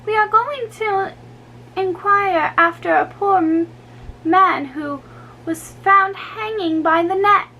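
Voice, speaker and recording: A woman's voice: extremely high pitch, slow, sharp tone, a little hiss or hum, pitched above the performer's own voice, full character voice. A woman voicing a saucy, bratty young ballet girl in a storybook reading, bold and dismissive. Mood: saucy, scoffing.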